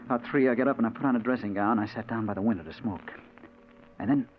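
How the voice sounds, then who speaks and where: A man's voice: on the low side, fast, close to an everyday voice. A man playing a brooding, obsessed detective who narrates his own case in earnest, hard-boiled 1940s radio noir style. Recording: muffled, noisy 1940s radio recording.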